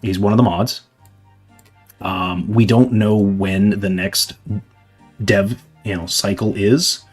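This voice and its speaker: A young man on a livestream, his fairly low voice husky and a little hoarse from hours of talking. He rambles casually through viewers' questions, padding with fillers. Faint music plays underneath. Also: fairly even intonation, slow, long pauses. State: slightly hoarse from long streaming. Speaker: livestreamer answering chat.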